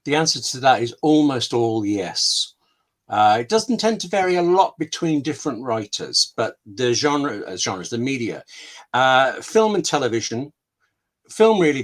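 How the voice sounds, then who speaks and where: A man's voice: medium pitch, a bit bright. A man being interviewed as a scriptwriter on an online call, explaining with examples and anecdotes in a candid way, often interrupting himself. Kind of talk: explanatory webinar chat with examples.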